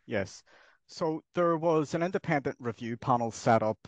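A man's voice: mid-range voice, lively intonation, a little slow, no echo, muffled recording. A middle-aged man, a journalist, giving conversational interview answers on a headset over a video call.

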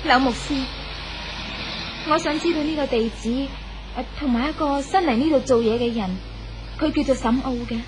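A woman's voice: high voice, moderate pace, long pauses, noisy background, close to an everyday voice. A woman playing an earnest young woman devotedly in love in a 1970s radio drama, speaking emotively with a Hong Kong Cantonese accent. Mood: searching, polite. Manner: earnest, emotive, musing aloud. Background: music.

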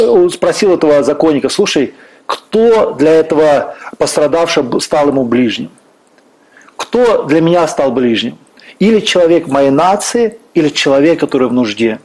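A man's voice: fairly high pitch, a little mellow, rough recording. A middle-aged man from Russia, a guest minister speaking earnestly and preacherly about faith on a church stage. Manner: fervent, preacherly, quotes scripture. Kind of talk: earnest faith-based interview talk.

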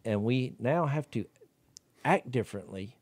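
A man, co-hosting a podcast, talking in an animated, riled-up way on a studio mic. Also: mid-range voice, measured pace, slightly rough, dry recording. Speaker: podcast co-host. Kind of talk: casual religious discussion. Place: wood-paneled studio room. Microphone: studio mic with headphones.

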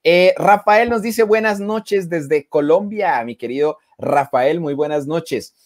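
A young man hosting a live video call interview, enthusiastic and greeting his viewers, talking through earphones from a room with bookshelves. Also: slow. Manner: enthusiastic, warm greetings to viewers, lively pitch swings. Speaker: host and mentor. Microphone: earphone headset on video call.

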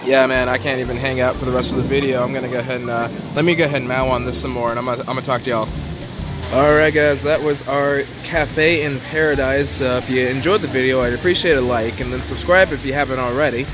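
Man, young adult, casual vlog host, relaxed chatty delivery, phone at arm's length, noisy outdoor patio. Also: mid-range voice, quick pace. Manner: relaxed, chatty, frequent fillers. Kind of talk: casual food review vlog. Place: outdoor cafe patio by a pool. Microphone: phone camera held at arm's length.

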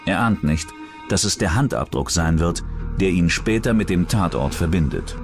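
A man narrates a true-crime documentary in a low voice with lively, varied intonation. His delivery is scripted storytelling, set over clearly audible background music. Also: normal speed.

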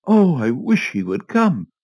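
A man voicing an anxious, fretful older wealthy woman who talks in a high, breathless, fussing rush. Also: huge pitch swings, a little slow, a little mellow, dry recording, heavily acted.

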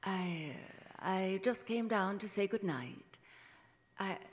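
A middle-aged woman playing a vain, fragile faded film star in a stage radio drama, halting and clinging. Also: medium pitch, animated. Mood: anxious, fragile. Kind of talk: old-time radio drama acting on stage. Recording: echoey theater hall reverb.

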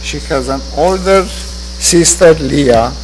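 Older romanian-accented guy giving a eulogy, really slow, lectern mic, echoey sanctuary. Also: on the high side. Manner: slow, measured, earnest delivery. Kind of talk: memorial eulogy. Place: synagogue sanctuary. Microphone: lectern microphone. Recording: reverberant hall with hum.

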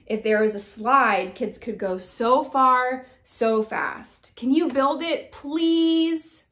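Lady reading as a keen little storybook girl, high voice, earnest and pleading, won't give up. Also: slow, fairly bright tone. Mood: earnest, hopeful. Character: eager, persistent little girl with big ideas. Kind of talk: children's storybook read-aloud.

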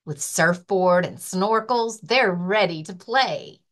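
A woman librarian reading a children's rhyming picture book aloud with expressive, rhythmic delivery. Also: on the low side, slow. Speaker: librarian and story-time reader.

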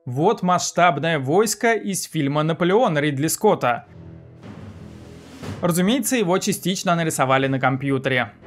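A man's voice: fairly high pitch, lively intonation, moderate pace, faint music behind. A man in his thirties presenting a scripted video essay as a film review host, recorded indoors in a small room.

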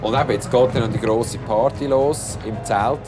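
A man hosting a live outdoor TV event with a handheld mic, upbeat and brisk, music behind him. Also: medium pitch. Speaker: TV event host. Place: outdoor festival grounds. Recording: archive broadcast, music behind.

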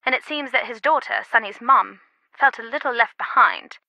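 A woman's voice: a little high, normal speed, creaky, bright, forceful tone, dry recording, full character voice, rough recording. A woman playing a worldly, wry antiquities expert in an adventure game, talking in a conversational, confiding way. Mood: matter-of-fact, confiding. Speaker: video game voice actor. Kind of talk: scripted adventure game dialogue.

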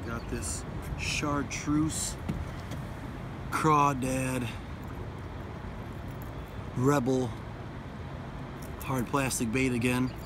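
A man's voice: medium pitch, fairly even intonation. A man casually talking to viewers while fishing on a riverside dock. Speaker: fishing vlogger. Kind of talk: casual outdoor fishing vlog. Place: outdoors on a riverside dock by rapids. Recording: outdoor camera audio with loud river noise. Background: rushing river water.

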